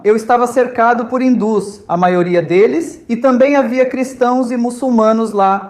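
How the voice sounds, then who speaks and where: A man's voice: high-pitched, darkish tone. A man from India speaking as a volunteer host, giving an informal, conversational talk to visitors and often checking in with his listeners.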